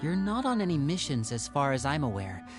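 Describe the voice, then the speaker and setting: A man's voice: a little high, very animated, fairly quick, music in the background. A man playing a suave, protective young elder brother in a video game, with an assured, theatrical, probing manner. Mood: helpful, questioning.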